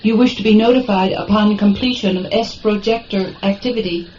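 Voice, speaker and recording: A woman's voice: medium pitch, fairly even intonation, brisk, close to an everyday voice. Lo-fi, faintly noisy radio-drama audio of a woman as a space station computer. The female voice is impersonal and formal, delivering procedural announcements. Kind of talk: science fiction radio drama acting. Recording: muffled lo-fi audio, faint background noise.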